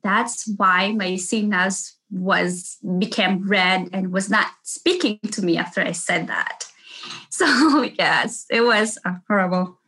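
A woman's voice: medium pitch, slow pace, sharp tone, poor audio. A middle-aged woman with a Filipino accent, a nurse telling casual stories in an interview, chatty and laughing often. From Philippines. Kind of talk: casual storytelling.

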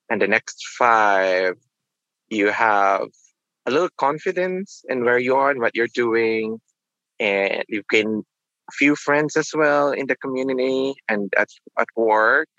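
Guy in his 30s, bright sharp voice, kinda dull dry sound, thinks out loud. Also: lowish voice, dry recording. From Philippines. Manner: reflective, halting, with restarts. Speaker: nurse guest. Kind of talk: casual interview.

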